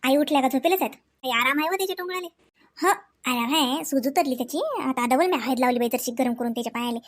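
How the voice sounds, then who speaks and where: A woman plays a homely village woman from a family cartoon, gossipy and colloquial in a rural Varhadi Marathi accent. The voice is very high and the talk runs fast, in a dry, close sound. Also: dry recording. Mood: homely, concerned. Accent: Varhadi Marathi, rural Vidarbha. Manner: gossipy, colloquial, drawn-out kinship exclamations.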